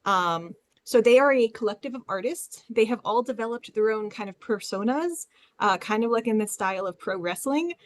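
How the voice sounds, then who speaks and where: A woman's voice: fairly high pitch, animated, normal speed. A woman who is a librarian giving an informal presentation as a panelist on a webinar.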